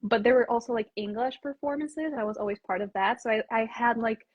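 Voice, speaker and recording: A young woman from Poland, a native Polish speaker with an American accent, chatting casually as an interview guest in a slightly husky voice. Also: fairly high pitch, fast, dull audio. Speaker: English teacher as interview guest. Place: indoors on an online video call.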